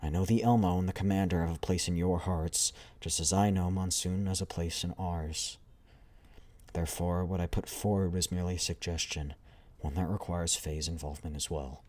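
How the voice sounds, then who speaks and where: A man with a deep voice reading the lines of a calm, composed female squad leader in a measured, formal way. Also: natural voice.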